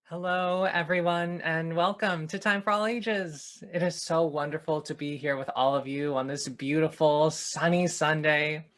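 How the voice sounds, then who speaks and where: An upbeat man introduces a children's segment and explains ideas plainly for families. His voice sits at an ordinary pitch, cheerful and clear in its pacing. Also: fairly even intonation, measured pace, few pauses, fairly bright tone. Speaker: religious education director.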